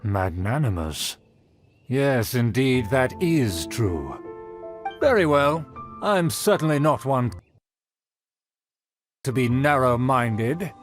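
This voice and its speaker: A haughty nobleman in a fantasy game. He speaks slowly and pompously at a middling pitch, with highly animated, theatrical intonation and faint music behind. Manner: pompous, formal, archaic wording. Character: haughty, pompous male aristocrat, grandiose and condescending. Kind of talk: theatrical video game voice acting.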